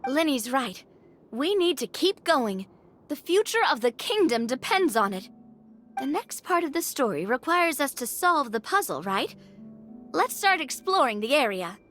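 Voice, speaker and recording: Very high, gentle girl adventurer. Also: sing-song, unhurried, soft background music, exaggerated voice. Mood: earnest. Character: sweet, timid, earnest girl adventurer in fantasy game. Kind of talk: video game voice acting.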